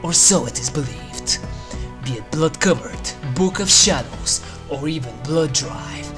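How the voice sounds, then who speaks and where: Review host, medium-pitched voice, scripted narration with theatrical, drawn-out delivery and dry wit, over prominent eerie music. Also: lively intonation. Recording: music under the voice. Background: eerie game soundtrack music.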